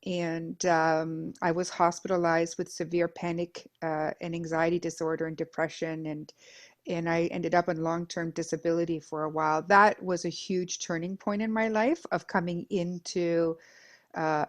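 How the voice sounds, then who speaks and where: A middle-aged woman from Denmark talks earnestly as an interview guest, her voice sitting a bit low. She builds long lists of repeated phrases, with pauses and fillers along the way. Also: little pitch movement. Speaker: guest, Reiki master and life coach. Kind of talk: reflective personal interview.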